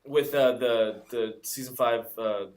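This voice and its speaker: A man co-hosting a casual podcast, with a naturally loud voice. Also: medium pitch, fairly even intonation, a little slow. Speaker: podcast co-host. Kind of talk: casual chat.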